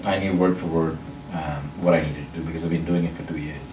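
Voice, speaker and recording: A man from Sri Lanka, a public speaking champion, answering interview questions in a measured, explanatory way, with a mostly neutral international accent. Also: very deep voice, little pitch movement, a little mellow. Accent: neutral international English, faint Sri Lankan. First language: Sinhala or another Sri Lankan language. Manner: measured, explanatory, builds points in lists. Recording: roomy echo, mic at a distance, faint noise.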